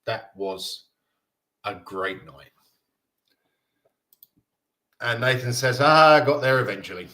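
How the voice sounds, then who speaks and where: A man's voice: medium pitch, lively intonation, unhurried, sharp tone. A man from Denmark in his thirties hosting a casual fan live stream, chatting loosely and reading viewer comments aloud with frequent laughs. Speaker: fan livestream host.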